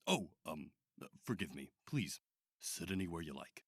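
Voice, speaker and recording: A man's voice: sits low, very animated, moderate pace, background noise. A man playing a polite, probing doctor in an audio drama, courteous and a little hesitant. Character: probing, polite male doctor at a sinister institute. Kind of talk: horror audio drama acting.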